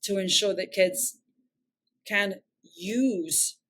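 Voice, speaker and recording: An English-accented woman speaking as an interview guest, her voice sitting at an ordinary middle pitch. She tells a long story in a reflective, earnest way, circling back and restarting sentences as she thinks. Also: drawn-out words, fairly bright tone. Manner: reflective, earnest, rambling with self-corrections. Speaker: nonprofit founder, interview guest.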